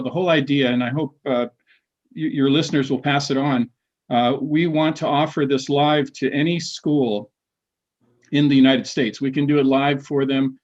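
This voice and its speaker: Middle-aged man, halting speech, many fillers, dull lo-fi call audio. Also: medium pitch. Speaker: history professor and playwright. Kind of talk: casual video call chat. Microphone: video call.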